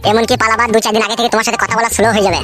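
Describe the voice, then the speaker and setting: A woman's voice: a little high, level tone, racing, over music, lightly acted. A woman voicing a blunt, cynical male friend who preaches and teases in comic cartoon dialogue. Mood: blunt, teasing. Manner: rambling, preachy, teasing.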